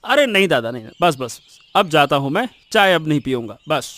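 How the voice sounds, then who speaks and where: A man's voice: on the high side, big pitch swings, a little fast, fairly bright tone, no room sound. A man voicing a dejected younger villager down on his luck, plaintive and complaining, for a cartoon story. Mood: resigned.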